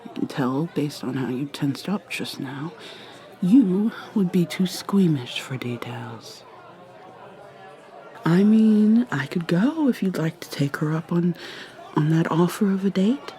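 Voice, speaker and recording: A woman voicing an ancient vampire mermaid in an ASMR roleplay, speaking low and soft in a teasing half-whisper. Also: lively intonation, takes it slowly. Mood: guarded.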